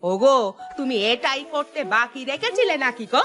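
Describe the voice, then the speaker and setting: A woman voicing a sharp-tongued village wife in a cartoon, scolding and stern. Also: high voice, very animated, moderate pace, soft background music. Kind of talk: Bengali cartoon voice acting.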